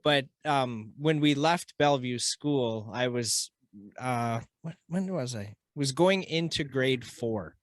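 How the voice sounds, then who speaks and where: A man's voice: mid-range voice, slow pace, no echo, clean studio sound. Easygoing man on a podcast. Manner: easygoing, rambling with fillers. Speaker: podcast host. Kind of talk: casual chat.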